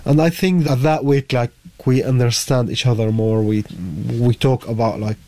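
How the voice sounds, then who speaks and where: A man's voice: medium pitch, fairly even intonation, mellow. A man from Syria, recalling his story casually as a guest, searching for words in English. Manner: halting, searching for words in non-native English. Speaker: interview guest telling personal story. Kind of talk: casual conversational recollection.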